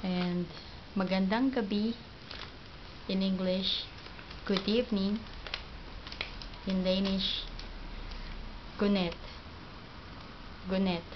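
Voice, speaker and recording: Filipino woman giving a homemade phrase lesson, flat careful delivery, muffled recording with hiss behind. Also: medium pitch. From Philippines. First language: Tagalog. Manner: slow, halting, repeats each word twice. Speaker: language teacher. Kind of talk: home video language lesson. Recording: dull lo-fi home video with background noise.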